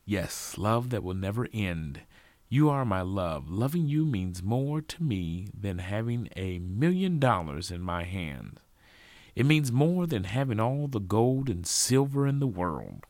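A man's voice: lowish voice, very animated, a little slow, a little mellow, no room sound. Man playing an ardent lovestruck teenage boy. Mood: tender, devoted. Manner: flowery, declaring romantic speech. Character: lovestruck eighth-grade boy, ardent, earnest suitor.

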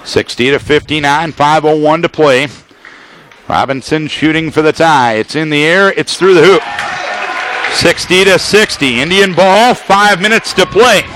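A man calling a basketball game on radio, giving a quick, detailed call of every pass along with the score and the clock. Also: on the high side, a bit bright, some chatter behind, slight background noise. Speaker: play-by-play announcer.

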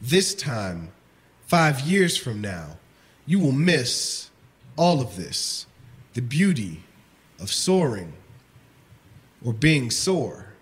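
A man performing a spoken word poem in a slow, deliberate, measured delivery. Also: medium pitch, very animated. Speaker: poet. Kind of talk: spoken word poetry reading.

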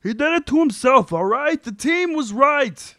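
A man's voice: extremely high pitch, expressive, a little slow, pushed and bright, no echo, studio quality, heavily acted. A man voicing a defensive, whiny grown son and brother in a sitcom table read, pleading his case emphatically.

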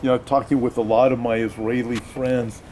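A man's voice: medium pitch, level tone, normal speed, a little mellow, slight background noise. An American man, a pastor conducting an interview, speaking earnestly and emphatically, often repeating his phrases.

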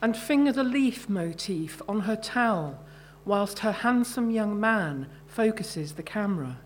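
A middle-aged woman giving a live literary reading. Her delivery is very unhurried and measured, each phrase carefully placed, at an average pitch for a woman. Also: animated, a little hiss or hum. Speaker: author reading from own short stories.

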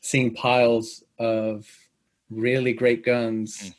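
Measured Australian man with a flat voice. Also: medium pitch. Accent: Australian, close to British. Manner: measured, with many pauses and fillers. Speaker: guest. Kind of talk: podcast interview.

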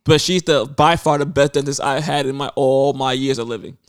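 A man's voice: mid-range voice, level tone, brisk, clean tone, dry recording, clean studio sound. A man co-hosting a casual podcast, telling long rambling stories and putting on quoted voices. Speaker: podcast co-host.

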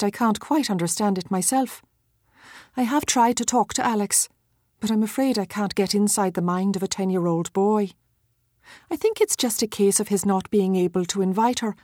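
A woman's voice: medium pitch, a little mellow, no room sound, clean studio sound, lightly acted. An actress reading a letter as a caring, apologetic mother in an earnest, confiding way.

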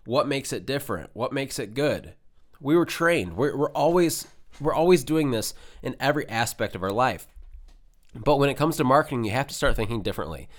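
A man's voice: mid-range voice, animated, fairly quick. A young man hosting his own podcast, talking casually and directly to listeners while sounding nasal from a cold. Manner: direct, coaching, fillers like "right?" and "okay?". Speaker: podcast host. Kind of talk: casual solo podcast talk.

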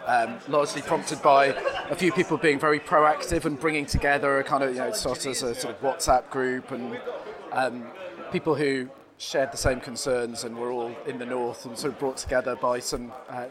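A man being interviewed as a guest at a conference, answering in a thoughtful, measured way with hesitant fillers. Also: mid-range voice. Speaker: event guest. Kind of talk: conversational interview. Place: busy conference venue. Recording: busy crowd noise behind. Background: crowd chatter.

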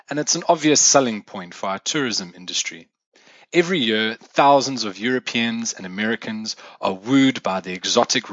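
Male South African host, scripted music commentary, forceful low voice, close and dead-dry, a touch lo-fi. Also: no room sound. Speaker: podcast host. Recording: dull lo-fi dry recording.

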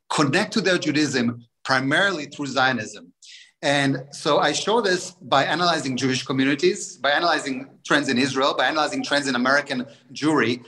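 A man's voice: fairly high pitch, pushed and bright, rough recording. A man, an author guest on a webinar interview, explaining his research with many restarts and fillers.

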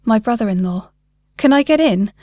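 A woman's voice: a little high, animated, moderate pace, a little mellow, no echo, studio quality, lightly acted. Woman reading as a guarded, worried ordinary man. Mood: tentative, searching. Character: worried, skeptical ordinary man seeking answers. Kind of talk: short story character dialogue.

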